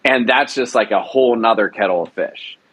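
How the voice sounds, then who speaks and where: Male interview guest, producer type. Fairly deep, very dry sound, casual halting explanations. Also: a bit bright, slightly rough, no echo.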